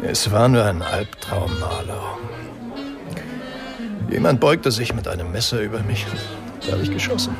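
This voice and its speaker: Creaky-voiced, bitter drinking writer. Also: male voice, fairly low pitch, sing-song, music in the background. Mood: irritated, shaken. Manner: brooding, sardonic, halting. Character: bitter, hard-drinking male writer, married and troubled. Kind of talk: German radio crime drama acting.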